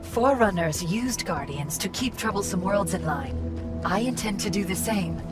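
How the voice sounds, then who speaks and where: A poised artificial intelligence played by a woman speaks for a video game in a mid-pitched female voice. The manner is controlled, coaxing and quietly imperious, with some condescension. Loud music plays behind. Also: animated, moderate pace, natural voice. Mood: cool, imperious. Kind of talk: dramatic video game acting.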